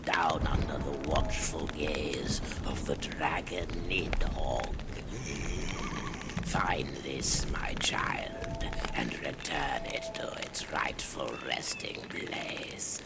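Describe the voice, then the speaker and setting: Sinister hanged spirit, extremely deep, crawlingly slow, breathy croak, archaic beckoning, video game. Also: male voice, huge pitch swings, whispered, full character voice. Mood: urgent, grim. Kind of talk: video game cutscene acting. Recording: loud background ambience noise.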